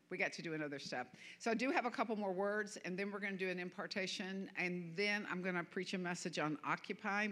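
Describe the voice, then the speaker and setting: A woman's voice: medium pitch, a bit bright. A woman from the American South with a Southern accent, preaching as a guest minister in a church, chatty and self-deprecating with frequent laughter. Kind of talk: sermon. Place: church sanctuary.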